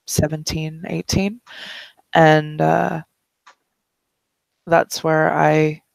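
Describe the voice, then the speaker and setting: A woman's voice: low-pitched, gravelly, dry recording, full character voice. A woman voicing a vampire rock musician who is coy about her age, speaking hesitantly in character. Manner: hesitant, thinking aloud. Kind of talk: in-character roleplay.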